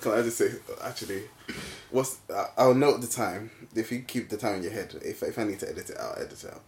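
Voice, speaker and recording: A man in his late twenties chatting casually on a podcast, animated and quick to laugh. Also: lowish voice. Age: 20s. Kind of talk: casual chat. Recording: echoey room with faint noise.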